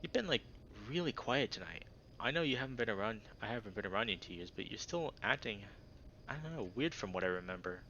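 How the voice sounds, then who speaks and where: A man's voice: fairly low pitch, expressive, quick pace, pushed and bright, highs cut off, a little hiss or hum. A man voicing a laid-back, teasing young furry guy from a visual novel, with casual, playful, slangy delivery.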